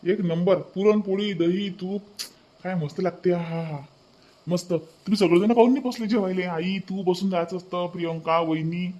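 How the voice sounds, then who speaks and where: A man's voice: sits high, fairly even intonation, moderate pace, warm tone, muffled recording. A man voicing a food-loving young brother-in-law in a village family cartoon, with faint music behind. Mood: cheerful.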